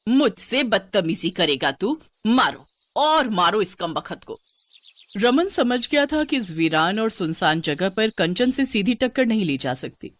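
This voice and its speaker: A woman narrator delivering a scripted moral story as storytelling narration. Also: mid-range voice.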